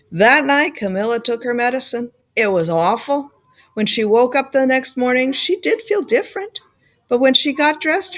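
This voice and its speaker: A woman reading a children's story aloud like a school librarian, phrasing clearly with dramatic emphasis. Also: a little high. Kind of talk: expressive children's storybook narration.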